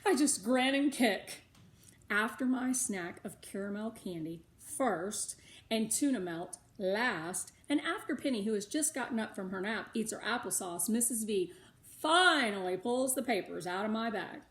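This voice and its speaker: A woman with an American accent reading a storybook aloud for schoolchildren in an animated, emphatic way. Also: mid-range voice, measured pace. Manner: animated, emphatic, with occasional asides. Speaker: read-aloud narrator for schoolchildren. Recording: roomy, echoing indoor sound.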